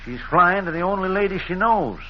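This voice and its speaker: A man's voice: high-pitched, animated, fairly quick. An actor playing a shrewd rural lawman, folksy and plainspoken with a drawl, in a muffled old radio drama. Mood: dry, knowing. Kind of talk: 1940s radio drama acting. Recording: muffled, faintly noisy old radio recording.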